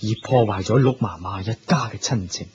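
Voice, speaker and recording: A man's voice: on the low side, a little fast, darkish tone, lightly acted. A man with a Hong Kong Cantonese accent playing a grave, compassionate hunting king in a Buddhist radio drama. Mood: remorseful, moved. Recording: dull lo-fi recording with faint noise.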